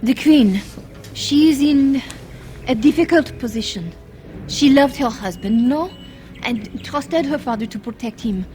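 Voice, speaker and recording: A woman's voice: high-pitched, measured pace, warm tone. An actress playing an earnest, worried young handmaiden to a queen in a fantasy video game, telling things carefully and urgently. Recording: game audio with music underneath.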